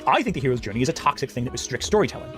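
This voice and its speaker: Very rapid-talking man over music. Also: medium pitch, very animated. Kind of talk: opinionated commentary in a played clip.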